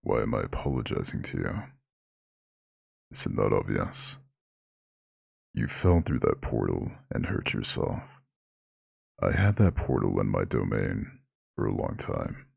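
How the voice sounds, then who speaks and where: A man voicing an ancient, imposing eldritch god who is gently caring and proud, speaking in a slow, soothing, formal growl in an ASMR roleplay. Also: no room sound, clean studio sound, heavily acted. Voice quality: very deep gravelly husky growl.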